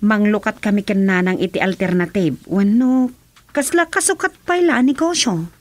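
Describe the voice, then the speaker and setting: A woman's voice: mid-range voice, moderate pace, no echo, natural voice. A woman acting as a chatty, good-humoured townswoman in an Ilocano radio comedy drama, with an Ilocano accent. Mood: interested, approving.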